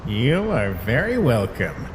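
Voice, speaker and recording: A man's voice: medium pitch, huge pitch swings, takes it slowly, noisy background. A man voicing a laid-back, guarded skeleton big brother in a fan comic dub, with a casual drawl. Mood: gently teasing.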